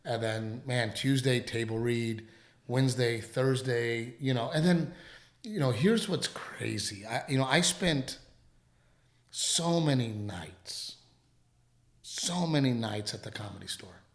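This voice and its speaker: Male co-host, casual home podcast, a bit hoarse with a cough, meandering delivery, roomy sound. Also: mid-range voice, expressive. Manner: rambling, halting, full of restarts. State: lingering dry cough. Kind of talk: casual chat.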